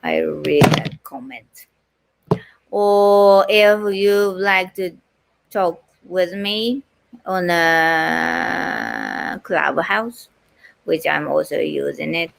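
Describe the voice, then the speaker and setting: A middle-aged woman with a Japanese accent, a livestream host reading aloud and chatting casually in a halting, unhurried way. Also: sits low, fairly even intonation, creaky. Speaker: livestream host, voice-over artist.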